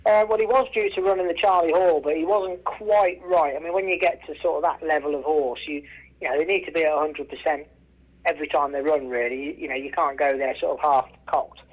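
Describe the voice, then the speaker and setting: A man's voice: fairly high pitch, steady tone, a little fast. A man from England giving a casual interview over the telephone, restarting often and repeating himself for emphasis. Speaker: racehorse trainer and former jockey, interview guest. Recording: telephone line, radio-style call.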